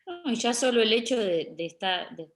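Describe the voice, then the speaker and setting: A woman with an Argentine accent, a photographer being interviewed, sharing personal memories in a halting, conversational way. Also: a little high, big pitch swings, slight background noise. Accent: Argentine Spanish.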